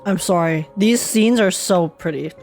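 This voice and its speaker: A young woman doing casual, giggly and expressive reaction commentary as she streams a game. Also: on the low side, measured pace. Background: game music.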